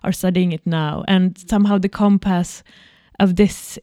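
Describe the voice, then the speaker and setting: A woman, talking loosely and casually, dropping "like" often. Her voice is mellow and warm at a middling pitch. She is recorded dry and close, with no echo. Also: steady tone, moderate pace. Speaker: choreographer. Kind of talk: casual conversation.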